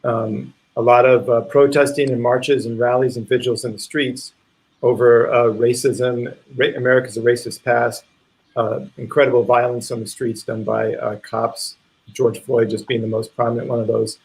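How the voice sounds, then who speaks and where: A man with a medium-pitched voice hosts an online reading in an informal way. He speaks haltingly, thinking aloud as he goes. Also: level tone, creaky, poor audio. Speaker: playwright and actor introducing a live play reading. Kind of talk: informal spoken introduction to an online event.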